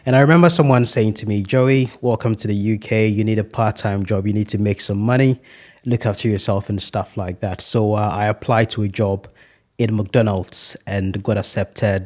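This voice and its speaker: A man's voice: fairly low pitch, a little mellow. A man in his thirties from Ghana, a guest on an interview podcast, talking in an earnest, reflective way with a few fillers. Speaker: guest, restaurant franchise owner.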